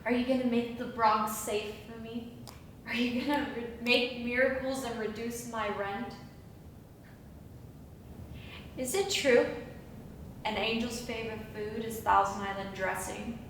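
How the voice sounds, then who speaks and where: A woman's voice: takes it slowly, long pauses, a bit bright. A woman acting a theatrical monologue as an anguished, awestruck Bronx woman questioning an angel. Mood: awed questioning. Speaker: acting student. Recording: echoey room from far off, rumbling noise.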